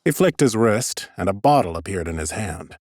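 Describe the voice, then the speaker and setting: Male audiobook narrator: deep-leaning, animated but understated wit, scripted fantasy prose, very dry studio sound. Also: normal speed, dry recording. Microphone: close studio microphone.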